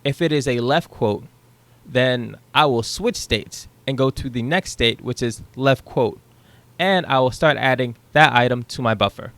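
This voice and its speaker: Young male coding tutor, easygoing screen-share explainer, mid-pitched voice, dry close headset sound. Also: unhurried, no echo. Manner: relaxed, thinking aloud with ums and rights. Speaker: programming tutor. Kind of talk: casual screen-share code walkthrough. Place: small room at home.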